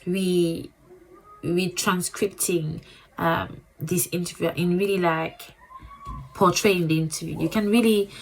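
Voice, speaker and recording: A woman with a French accent giving a casual, rambling book review as a booktuber, repeating words and filling pauses with ums. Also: lowish voice, steady tone, slight background noise, low-quality recording.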